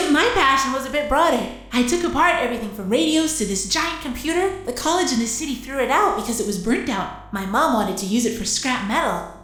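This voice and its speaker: A plucky young girl inventor in an audio drama, with a high, eager voice. She giggles and hesitates as she explains herself. The room echoes slightly and music plays underneath. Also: expressive, brisk, a bit bright. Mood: chatty, eager. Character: plucky teenage girl inventor turned walking war machine. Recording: roomy echo, music underneath.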